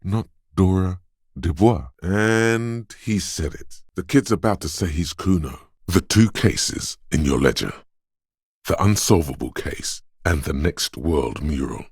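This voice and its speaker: A watchful inner voice of reflex and wit for a video game. It is exceptionally deep and sits in a very dry, close space. The narration is deliberate and dramatic, addressed straight to the detective whose head it lives in. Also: male voice, animated, dry recording, full character voice. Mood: watchful, knowing. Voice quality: very deep, smooth, resonant.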